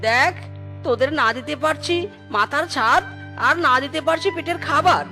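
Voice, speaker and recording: A woman's voice: sits high, normal speed, gravelly, over music. A woman voicing a poor, weary, cautious husband fox in a children's cartoon, with a plaintive manner. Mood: sorrowful.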